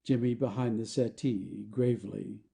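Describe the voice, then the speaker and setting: A man's voice: lowish voice, steady tone, normal speed, creaky, warm tone. A man reading stage directions as the narrator of a scripted play.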